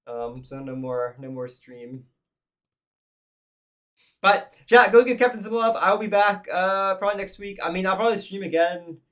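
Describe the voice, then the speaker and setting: Male streamer, voice going hoarse, rambly thinking-aloud delivery, a touch higher than usual. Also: lively intonation, moderate pace, fairly bright tone. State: hoarse, losing his voice. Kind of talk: casual live-stream commentary.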